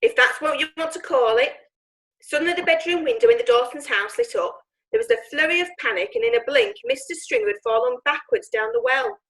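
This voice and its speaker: An actress playing a gossipy witness recounting a night's events. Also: female voice, high voice, very animated, brisk, fairly bright tone, heavily acted, poor audio. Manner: gossipy storytelling. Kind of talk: amateur audio drama acting.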